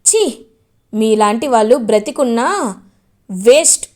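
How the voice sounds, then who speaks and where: A woman doing a furious young man who raises his voice and scolds hard. The voice sits high and carries strong force. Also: animated, moderate pace. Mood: indignant. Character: indignant young man, protective older brother.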